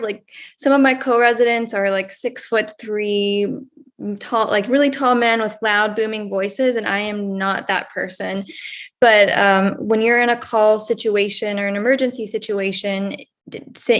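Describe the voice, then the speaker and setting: Soft-spoken young woman resident. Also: medium pitch, steady tone. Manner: soft-spoken, quiet, measured. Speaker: second-year ENT resident. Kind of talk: panel discussion.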